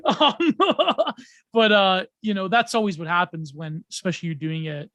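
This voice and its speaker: High-voiced man rambling through ideas. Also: measured pace, bright, forceful tone, no echo. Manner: rambling, many ums and restarts, laughs often. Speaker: podcast guest. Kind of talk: casual podcast chat.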